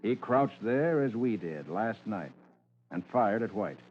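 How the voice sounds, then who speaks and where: An actor playing a confident, methodical private detective in an old radio drama, explaining with assurance. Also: male voice, on the low side, big pitch swings, unhurried. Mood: assured, explanatory. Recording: dull, noisy old radio recording.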